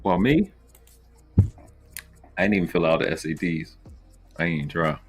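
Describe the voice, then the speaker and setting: Deep-voiced man hosting a casual livestream. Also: animated, a little slow, soft background music. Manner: slangy, conversational. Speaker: live show host.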